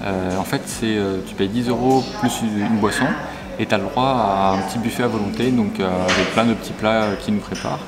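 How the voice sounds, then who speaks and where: A man's voice: lowish voice, a little fast. A young man chatting casually and jokily in a travel vlog on a city square, with frequent hesitations. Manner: relaxed, hesitant, jokey. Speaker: travel vlogger. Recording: street noise behind.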